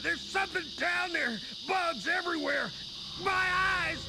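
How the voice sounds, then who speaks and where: A man's voice: very high-pitched, very noisy. A man playing a terrified victim in a video game, crying out in panic and agony. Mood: panicked agony. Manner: drawn-out desperate cries. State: screaming in panic and pain. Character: terrified, agonised male victim overrun by bugs. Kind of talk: video game cutscene acting. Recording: loud music under the voice.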